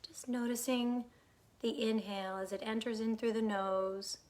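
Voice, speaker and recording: A woman leading a guided meditation in a calm, unhurried, soothing way, her soft phrases trailing off into breathiness. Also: fairly high pitch. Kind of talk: guided meditation, gentle instruction.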